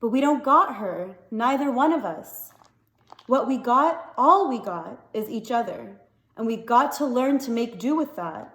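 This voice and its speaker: A woman's voice: a little high, lively intonation, a little slow, a bit bright. Woman reading as a subdued, grieving father, echoey room. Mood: tender, consoling. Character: stoic, grieving Southern father, gently consoling his son.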